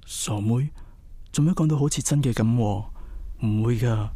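An actor playing a calm young boyfriend in a radio drama, gentle and reassuring. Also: male voice, medium pitch, moderate pace, no room sound, lightly acted. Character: calm, protective young boyfriend, soothing and reasonable.

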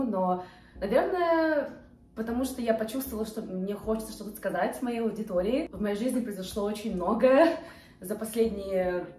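A woman of twenty-eight talking casually to the camera from her living room couch, hesitant and full of fillers. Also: mid-range voice. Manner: chatty, hesitant with many fillers, gestures with hands. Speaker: vlogger. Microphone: camera a few feet away. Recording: roomy sound with faint background music.

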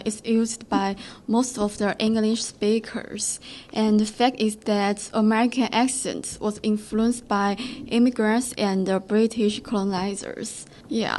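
A woman's voice: mid-range voice, a little mellow. A young woman, an international student, co-hosting a casual student radio chat in simple phrasing. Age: young adult. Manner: non-native, simple phrasing, a little nervous.

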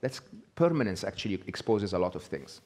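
Middle-aged man, low-pitched talk. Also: very animated, brisk, darkish tone. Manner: conversational, checks in with frequent "okay?". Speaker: speaker giving a talk. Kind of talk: live talk to an audience. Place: small indoor venue with brick wall.

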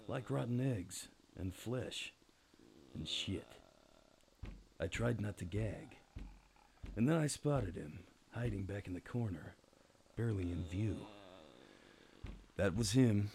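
A man playing a world-weary, wry private detective, deadpan, narrating a noir radio drama. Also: deep voice, a little hiss or hum. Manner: deadpan, hardboiled. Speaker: narrator and lead actor.

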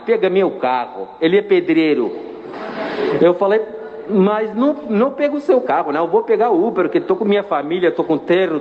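A middle-aged man with a slightly high voice recounts his faith story, earnest and halting in a language not his own. The recording is dull and carries a long reverb tail. Faint noise hums underneath. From Iraq. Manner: earnest, halting non-native Portuguese. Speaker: church member giving testimony. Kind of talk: personal religious testimony.

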